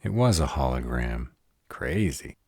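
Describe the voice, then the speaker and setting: A man's voice: very deep voice, very animated, measured pace, vocal fry, slightly rough, dry recording, studio quality, full character voice. An actor playing a sarcastic but protective male were-dragon in an audio roleplay, muttering sardonic asides. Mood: tender relief.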